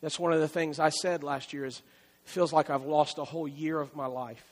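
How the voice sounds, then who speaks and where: A man's voice: a little high, fairly even intonation, brisk, long reverb tail. A man preaching a sermon as pastor, in a conversational style that repeats phrases and rises for emphasis.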